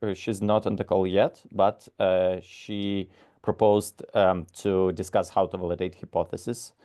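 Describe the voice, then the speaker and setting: Slow-talking, somewhat low-voiced man from Ukraine, halting moderator of a video call. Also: level tone, mellow. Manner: hesitant, many "uh" and restarts.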